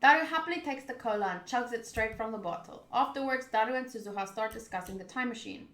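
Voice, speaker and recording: A woman's voice: on the high side, animated, fast, a bit bright. A chatty woman livestreaming a visual novel, reading its narration aloud and commenting casually in reaction to the game and viewers. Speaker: game streamer. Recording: roomy echo.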